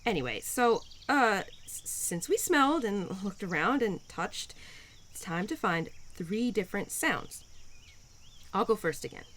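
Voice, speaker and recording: A woman's voice: medium pitch, sing-song, unhurried, a bit bright, a little hiss or hum. Girl doing a playful spring spirit lady for audio rp, bouncy up and down voice, encouraging, bit flustered, crickets n outdoor sounds behind. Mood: flustered, bashful. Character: cheerful, playful, pun-loving spirit of spring, Mother Nature's daughter. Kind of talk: intimate audio roleplay to a listener.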